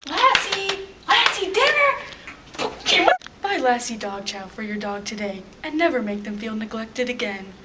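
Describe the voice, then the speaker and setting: A woman's voice: fairly high pitch, very animated, a little slow, recorded from a distance. A young woman acting out a pet food commercial with exaggerated, singsong delivery. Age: young adult. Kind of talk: acted dog food commercial. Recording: off-mic with faint background noise.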